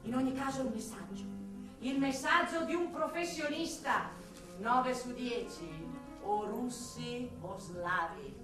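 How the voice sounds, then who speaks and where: A woman's voice: on the high side, lively intonation, a little slow. An actress playing a cynical, foul-mouthed female forensic investigator in a theatrical radio drama, blunt and sardonic. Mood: cynical, bitter. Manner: sardonic, blunt, swinging from muttering to outbursts. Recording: echoey, distant stage sound with music.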